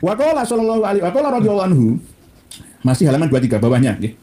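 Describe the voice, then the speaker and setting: Male cleric, Javanese Indonesian accent, quick and mellow-toned. Satirical, informal lecturing with rhetorical questions. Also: high voice, big pitch swings. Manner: satirical, uses rhetorical questions, mixes Arabic quotes. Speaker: Islamic cleric giving a lecture. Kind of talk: informal religious lecture reading and critiquing a book.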